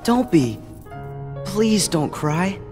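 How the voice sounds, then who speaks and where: A man's voice: high voice, sing-song, drawn-out words, exaggerated voice. A man playing an earnest, kind-hearted teenage boy hero in a game cutscene, sincere and reassuring. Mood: sincere, consoling. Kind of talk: animated game cutscene acting. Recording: long reverb, music behind.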